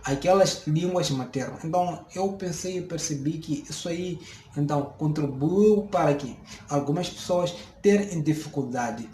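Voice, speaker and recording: A man's voice: a little high, moderate pace, mellow, a little hiss or hum. Hesitant Mozambican man in an echoey room. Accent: Mozambican Portuguese. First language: a Mozambican local language. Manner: hesitant, many fillers and restarts, explaining. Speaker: vlogger. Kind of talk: casual talk to camera.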